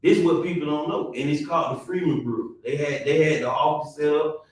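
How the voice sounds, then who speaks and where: Host, male; emphatic, repetitive arguing, voice a bit high, set back from the mic. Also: fairly even intonation, a little fast. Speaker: stream host. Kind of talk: heated casual debate. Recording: echoey room, mic at a distance.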